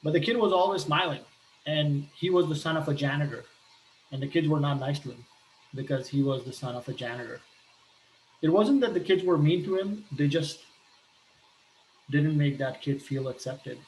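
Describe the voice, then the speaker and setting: A man from Pakistan talking earnestly about his life, pausing often and restarting his sentences. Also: on the high side, echoey room, a little hiss or hum, rough recording. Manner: hesitant, earnest, many restarts and fillers. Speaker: entrepreneur sharing a personal appeal. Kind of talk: personal talk with shared images.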